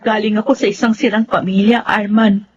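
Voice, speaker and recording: An actress with a Filipino accent playing a sorrowful, resigned young sweetheart in a melodramatic radio drama. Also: female voice, mid-range voice, little pitch movement, fairly quick, slight background noise, lightly acted. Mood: hurt, resigned. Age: young adult. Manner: plaintive, melodramatic. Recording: dull audio with music behind. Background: music.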